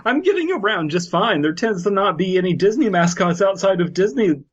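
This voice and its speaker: A man's voice: high-pitched, sing-song, a little fast, slightly rough, muffled recording. A man co-hosting a podcast, bantering casually and reading aloud with incredulous, mocking commentary. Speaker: podcast co-host.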